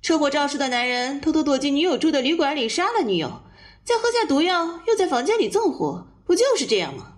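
An actress playing a dismissive, petulant sister-in-law who scoffs at others in a Chinese audio detective drama. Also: female voice, sits high, big pitch swings, fast talker. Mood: scornful, defensive. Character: dismissive, scornful sister-in-law in wealthy family mystery. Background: soft music.

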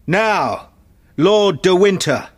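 A woman voicing a stern, commanding male musketeer leader with solemn, measured speech. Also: fairly low pitch, lively intonation, husky, full character voice. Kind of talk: audiobook character voice.

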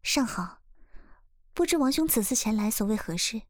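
Woman playing a composed, gracious young gentlewoman. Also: on the high side, animated, natural voice. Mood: polite, composed. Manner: polite, measured, formal. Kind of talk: Chinese costume audio drama acting.

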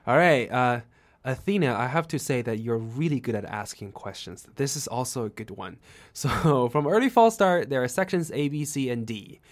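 A man's voice: mid-range voice, animated, moderate pace. Man hosting an interview. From China. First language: Chinese. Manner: earnest, non-native phrasing, hesitant fillers. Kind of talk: conversational interview.

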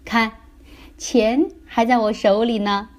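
A woman's voice: on the high side, steady tone, takes it slowly. A woman voicing a boastful, blustering father in a children's story, speaking emphatically.

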